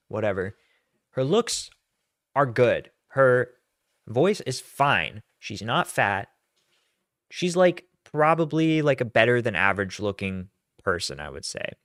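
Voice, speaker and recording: A man reacting to a clip with mocking, exasperated commentary, cursing freely as he picks it apart. His voice sits at an ordinary middle pitch. The sound is dry and close. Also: a bit bright, no room sound.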